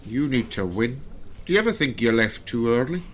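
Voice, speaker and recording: A man's voice: mid-range voice, animated, moderate pace, close to an everyday voice. An actor playing a teasing, friendly older man in an audio drama. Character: teasing, friendly older male chess partner. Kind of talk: scripted audio drama dialogue.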